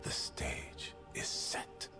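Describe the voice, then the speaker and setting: A man voicing a grandiose, theatrical serial killer who speaks slowly and poetically in a deep, whispery voice, as video game voice lines. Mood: menacing, grandiose. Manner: slow, poetic, dramatic delivery. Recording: music playing behind the voice.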